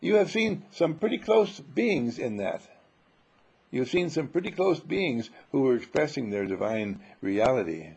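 Gravelly, animated male spiritual preacher. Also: mid-range voice, unhurried, lo-fi sound. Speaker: spiritual teacher. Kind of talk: informal spiritual sermon with rhetorical questions.

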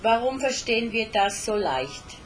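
A woman interprets line by line, slow and careful. She stumbles and hesitates as she searches for the right words. Faint music sits under her voice. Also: a little high, sing-song, poor audio. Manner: halting, with frequent hesitations. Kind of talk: live interpreting between English and German.

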